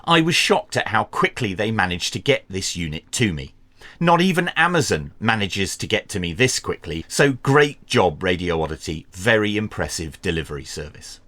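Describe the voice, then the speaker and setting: A man presenting a scripted product review in a measured, dryly humorous presenter's delivery. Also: fairly low pitch, big pitch swings, sharp tone. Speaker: radio review channel host.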